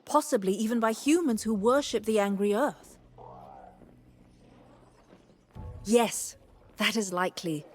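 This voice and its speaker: A woman's voice: mid-range voice, darkish tone, natural voice. An actress voicing an eager woman explorer and scholar in a video game, speaking in a formal, declamatory and enthusiastic way. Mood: scholarly curiosity. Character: eager woman explorer-scholar, awed guide to wondrous ruins.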